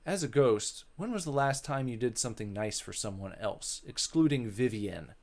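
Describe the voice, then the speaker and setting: Man as skeptical woman interviewer, stern, measured, probing, visual novel character. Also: mid-range voice, expressive, darkish tone, lightly acted. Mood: skeptical, reproving.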